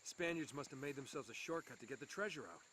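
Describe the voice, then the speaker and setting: A male actor plays a daring treasure hunter from an action video game. He is casual and wry, with a lively, mid-pitched delivery. The game audio is quiet, with clear ambient noise around the voice. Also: fast talker, lightly acted. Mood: focused. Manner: casual, wisecracking.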